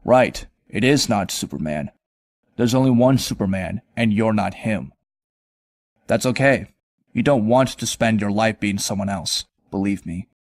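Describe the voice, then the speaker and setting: An actor playing a sardonic, world-weary young vigilante, narrating and talking in a wry, conversational way in a motion comic. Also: male voice, lowish voice, lively intonation, a little slow, a little mellow, no echo. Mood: earnest. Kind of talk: first-person narration and dialogue, motion comic.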